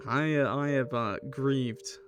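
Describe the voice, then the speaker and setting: A man chatting casually on a livestream as a gamer, halting and hesitant, with a self-deprecating manner. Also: medium pitch, over music. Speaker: streamer playing and reviewing a game. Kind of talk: casual livestream chat, reacting to a game and viewer comments.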